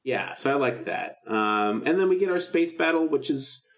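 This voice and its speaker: A man's voice: mid-range voice, lively intonation, moderate pace. A man co-hosting a podcast in casual, enthusiastic chat, often slipping into acted-out quotes. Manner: enthusiastic, acts out quoted dialogue. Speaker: podcast co-host.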